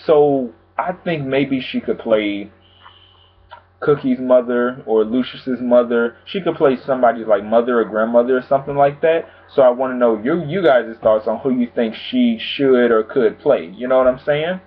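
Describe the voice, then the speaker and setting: A man vlogging about TV news in a casual, chatty way, calm and low-key, with lots of filler phrases. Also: moderate pace, breathy edge. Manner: chatty, frequent "pretty much" and "you know what I'm saying". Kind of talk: casual chat to camera. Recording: tinny phone-like sound.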